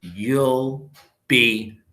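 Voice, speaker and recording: A man's voice: medium pitch, drawn-out words, bright, forceful tone. A man voicing a wicked, strict woman substitute teacher who snaps and hisses her commands in a storybook reading. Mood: stern. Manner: snapping, hissing, commanding.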